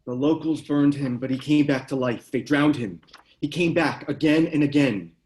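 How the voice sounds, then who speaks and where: A man's voice: mid-range voice, moderate pace, a little mellow, lightly acted, low-quality recording. Man acting an earnest, uneasy occult researcher. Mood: grave, explaining. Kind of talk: screenplay table read acting.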